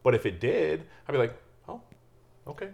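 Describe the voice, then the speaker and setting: A man's voice: fairly high pitch, very animated, normal speed, creaky, highs cut off. A man who hosts a podcast, talking in a laid-back, reflective way with casual swearing. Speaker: podcast host. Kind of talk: casual conversational chat.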